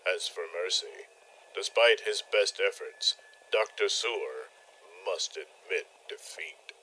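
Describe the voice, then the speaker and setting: A man narrating a mock-gothic comedy tale in a measured, dramatic storytelling style. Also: low-pitched, expressive, pushed and bright, husky, poor audio.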